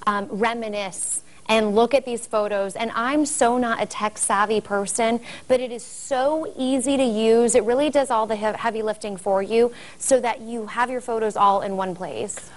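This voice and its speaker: An American woman around her thirties with a fairly high voice. She talks conversationally as a guest spokesperson, presenting a product in a TV interview on a lapel mic. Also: steady tone, moderate pace, few pauses. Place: furnished living-room set indoors.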